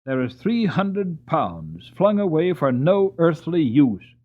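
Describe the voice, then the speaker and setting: A man's voice: on the high side, very animated, takes it slowly, lo-fi sound, voice pushed up, heavily acted. A man voicing an annoyed, proud earl in a storybook, with an emphatic, lordly delivery. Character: annoyed, commanding English earl, proud horse owner.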